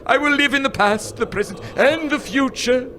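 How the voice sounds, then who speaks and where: An actor playing an old miser, pleading desperately and slowly with a ghost in a live radio play. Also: male voice, extremely high pitch, bright, forceful tone, a little hiss or hum. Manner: desperate, pleading, slow and grave.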